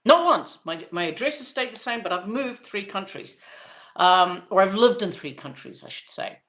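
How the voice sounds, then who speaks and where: A woman's voice: medium pitch, animated, moderate pace, a bit bright. A woman in her fifties from Zimbabwe, hosting a podcast in a casual, rambling monologue full of fillers and restarts. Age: 50s. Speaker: podcast host.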